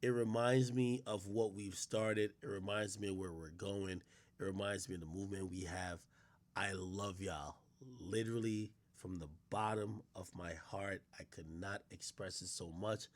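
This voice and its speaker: A man's voice: low-pitched, steady tone, moderate pace. A man live-streaming, chatting loosely and profanely straight to his viewers. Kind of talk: casual live-stream chat.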